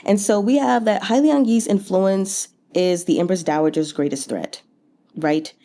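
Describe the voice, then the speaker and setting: A woman's voice: mid-range voice, unhurried, mellow. A woman talking casually and expressively through a book recap, with conversational, incredulous asides. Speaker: book read-along vlogger.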